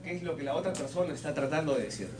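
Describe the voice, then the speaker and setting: A man's voice: fairly high pitch, expressive, rapid-fire, a little mellow. A man interpreting a sermon live phrase by phrase, a little hesitant and rephrasing as he goes. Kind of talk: consecutive live interpretation of preaching. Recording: echoey room with clear background noise.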